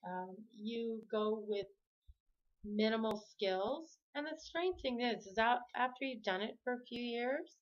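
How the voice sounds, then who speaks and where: A woman's voice: a little high, expressive, unhurried, lo-fi sound. A woman from Canada talking casually to camera at home, rambling and often correcting herself. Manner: rambling, self-correcting, chatty. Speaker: vlogger. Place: home room with the TV on.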